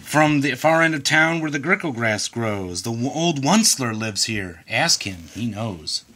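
A man reads a children's rhyming storybook aloud with a very animated, swooping pitch. His voice is bright and a bit husky. He leans on dramatic pauses and emphasis. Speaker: storybook reader.

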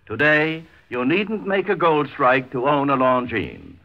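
Animated American male radio announcer. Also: medium pitch, measured pace. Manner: formal, polished delivery. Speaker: commercial announcer. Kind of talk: scripted 1950s radio advertisement. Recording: muffled 1950s radio recording.

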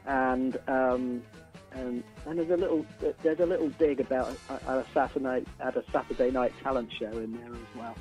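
A man's voice: medium pitch, fairly even intonation, moderate pace, a little mellow, faint music behind, low-quality recording. An older man, a band musician, talking casually as a radio interview guest, opinionated and repeating words as he builds his point.

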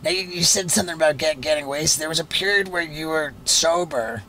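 A podcast host in his late forties, asking quick questions with eager, stammering restarts at a studio mic. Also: on the high side, sharp tone, a little hiss or hum, low-quality recording. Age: 40s. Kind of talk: casual chat. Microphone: studio mic on boom.

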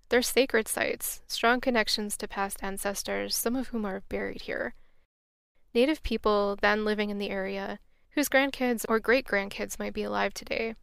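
Creaky, smooth-voiced woman narrating. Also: medium pitch, no room sound. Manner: reflective, wry, earnest. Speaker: podcast host. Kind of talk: scripted travel narration.

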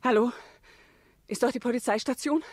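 A woman's voice: fairly high pitch, moderate pace, darkish tone, a little hiss or hum, close to an everyday voice. A woman playing an agitated lady's companion in a radio crime drama, speaking in urgent, clipped exclamations. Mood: panicked, urgent.

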